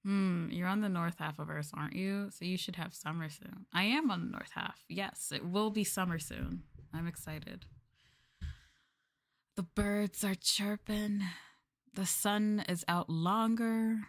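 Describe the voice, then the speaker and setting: A soft-spoken woman chatting casually and playfully with viewers as the host of an ASMR livestream. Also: mid-range voice, a little slow. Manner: soft-spoken, playful, drifting into close-mic whispers. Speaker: VTuber streamer and host.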